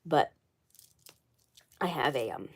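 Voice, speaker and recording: A woman in her twenties chatting casually on a livestream, hoarse and froggy from a cold, rambling and repeating herself. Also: rumbling low, lively intonation, slow pace. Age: 20s. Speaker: doll collector livestreaming.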